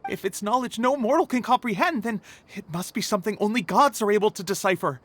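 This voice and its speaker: A man's voice: high voice, quick pace, a bit bright. A man playing an earnest, somewhat haughty scholar in a video game, explaining things in formal speech. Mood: insistent, revealing. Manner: expository, formal. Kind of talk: scripted game dialogue.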